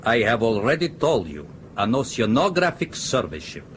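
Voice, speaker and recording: Strict soviet ship captain, radio drama, very formal n precise, swingy intonation, bit of background noise. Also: male voice, mid-range voice, sing-song, normal speed, fairly bright tone. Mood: coolly formal. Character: stern, stonewalling Soviet ship's captain, formal and unyielding. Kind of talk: broadcast radio drama acting.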